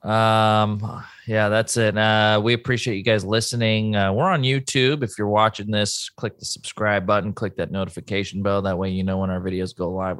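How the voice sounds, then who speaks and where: A man's voice: lowish voice, fairly bright tone, smooth, no room sound, studio quality. A man hosting a podcast, easygoing, reading listener questions and wrapping up the show. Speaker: podcast host. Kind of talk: casual chat and show outro.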